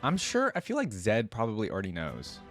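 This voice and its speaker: A man's voice: fairly low pitch, huge pitch swings, fairly quick, a bit bright, faint music behind. A man giving casual reaction commentary, musing aloud with curiosity as host.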